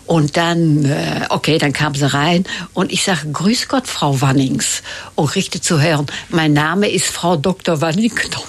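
A woman's voice: sits low, measured pace, breathy edge. An older woman from Italy, chatting as an interview guest, hesitant with many fillers and restarts. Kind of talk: casual interview chat.

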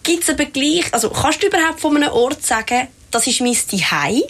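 A woman's voice: high voice, expressive, brisk, a bit bright, slight background noise. A woman interviewer, asking questions in a casual, friendly way.

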